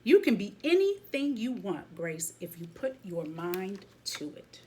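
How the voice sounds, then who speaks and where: Woman voicing an assured, lively old grandmother. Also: medium pitch, unhurried, a little hiss or hum. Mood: warm, assured. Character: wise, firm, encouraging grandmother from Trinidad.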